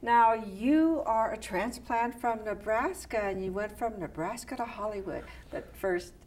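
A woman's voice: on the high side, measured pace, darkish tone. A woman hosting a studio interview show, welcoming a guest and giving friendly, encouraging prompts into a lapel mic. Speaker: talk show host. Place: TV studio set, indoors.

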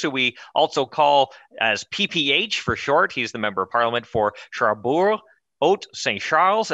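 A man in his thirties hosting a political talk show over a headset mic, emphatic and animated in delivery. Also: medium pitch, no room sound, highs cut off. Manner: energetic, emphatic, gestures while talking. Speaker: show host. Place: studio with branded backdrop, indoors.

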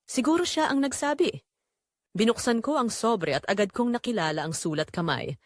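A woman's voice: on the high side, lively intonation, normal speed, no room sound, studio quality. A woman playing a remorseful, wounded young woman, narrating her confession earnestly and emotively in an audio drama with a Filipino accent. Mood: pained, subdued. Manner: earnest, reflective, emotive delivery. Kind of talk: scripted first-person dramatic narration in Tagalog audio drama.

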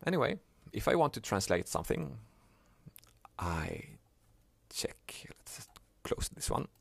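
A man whose first language is Swedish, explaining a software task step by step in a plain, unhurried way. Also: on the low side, wildly swooping pitch, vocal fry, husky, no echo, whispered. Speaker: software tutorial maker. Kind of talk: screen-recorded software tutorial.

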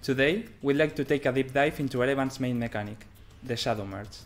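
A man's voice: medium pitch, fairly quick. A man in his thirties giving a scripted presentation as a game developer. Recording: music behind the voice. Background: background music.

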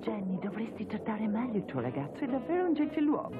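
Big friendly young foreign athlete in an anime, sincere, thin audio w music behind. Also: female voice, on the high side, sing-song, fast talker. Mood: friendly teasing. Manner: sincere, drops in English phrases. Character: big good-natured young foreign woman judo athlete. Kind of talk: dubbed anime acting. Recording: narrow tinny sound, music behind.

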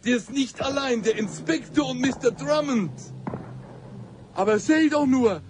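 Excitable politician in radio satire, exclamatory and swooping, high pitch, music behind. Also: male voice, measured pace. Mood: panicked. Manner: exclamatory, blustering. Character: excitable, theatrical male German politician on expedition. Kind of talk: satirical radio play acting.

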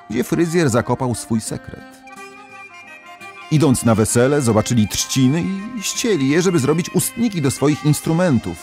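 Male narrator for legends: lowish voice, measured and dramatic, over soft music. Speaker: storyteller. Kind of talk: scripted fairy-tale narration with musical backing.

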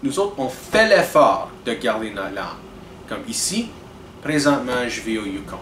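A young man, talking earnestly to camera in a measured, deliberate way with frequent restarts. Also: mid-range voice, big pitch swings, fairly bright tone. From Canada. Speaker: video blogger. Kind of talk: direct-to-camera commentary. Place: indoors in front of a dark curtain. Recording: roomy, distant with air-conditioning hum.

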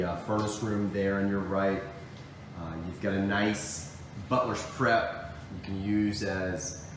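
A man in his thirties, a real estate agent, narrating a walk-through home tour on a handheld phone indoors. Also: on the low side. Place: indoors, hallway and rooms of an apartment. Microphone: phone held at arm's length. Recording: echoey rooms with background noise.

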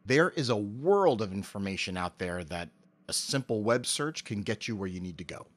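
Articulate middle-aged man, measured delivery. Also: on the low side, animated. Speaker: interview guest, amateur voice actor. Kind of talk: prepared interview answers. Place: home office. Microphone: cardioid dynamic mic with pop filter, on a stand.

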